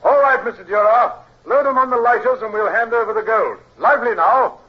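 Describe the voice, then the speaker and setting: High-voiced official, vintage radio. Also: male voice. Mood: brisk, businesslike. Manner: brisk, exclamatory. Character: excitable British official on a naval supply errand. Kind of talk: old-time radio drama acting. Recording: dull, hissy old radio recording.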